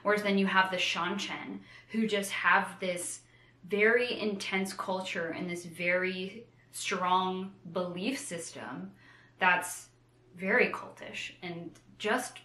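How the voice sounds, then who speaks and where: Bright-voiced woman in a roomy echo. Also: medium pitch, slow pace. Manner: animated retelling with playful slangy asides. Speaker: co-host of a book discussion show. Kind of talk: casual book chat.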